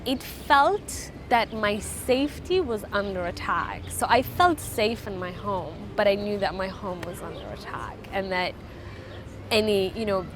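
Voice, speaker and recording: A woman from Afghanistan whose first language is Farsi, giving earnest, halting interview answers about her life. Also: medium pitch, expressive, over music. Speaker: interviewee, refugee charity founder.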